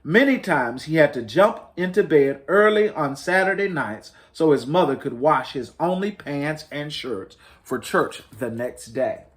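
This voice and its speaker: A man reads a children's picture book aloud as a story-time host. His voice sits a little high for a man and swings widely in pitch. He stresses key words with dramatic emphasis. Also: animated, a little slow, hardly any pauses. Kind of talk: expressive picture book read-aloud for families.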